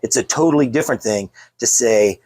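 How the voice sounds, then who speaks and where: A man's voice: mid-range voice, brisk, darkish tone. An American man hosting a casual on-location interview as a legislator, talking in a rambling way with false starts. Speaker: state legislator and show host.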